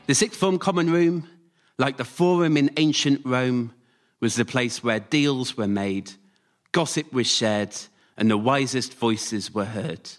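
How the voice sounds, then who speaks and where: A man performing a scripted autobiographical story live, delivered in measured short phrases. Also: medium pitch, faint music behind. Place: radio theatre stage, before a live audience.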